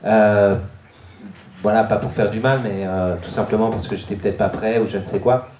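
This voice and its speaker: A man's voice: lowish voice, fairly even intonation, normal speed, darkish tone, highs cut off, a little hiss or hum. A man from France giving a hesitant personal testimony, with many fillers and restarts. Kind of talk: personal testimony at a church youth event. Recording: echoey room.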